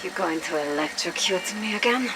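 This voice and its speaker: A woman's voice: fairly low pitch, animated, brisk, heavy background noise. A woman playing a defiant, bitter captive under interrogation in a game audio log, curt and sarcastic. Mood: weary, hostile.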